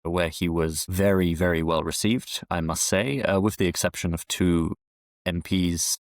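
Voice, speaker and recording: Deep-voiced man, measured interview guest. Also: steady tone, dry recording. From France. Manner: measured, with frequent restarts and fillers. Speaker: podcast guest, historian. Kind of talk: conversational interview answers. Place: London, UK.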